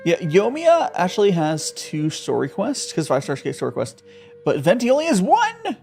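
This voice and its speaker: Gravelly man streaming a game, lively and jokey, soft game music behind. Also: high-pitched, normal speed. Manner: rambling, joking, laughs often. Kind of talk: casual game commentary.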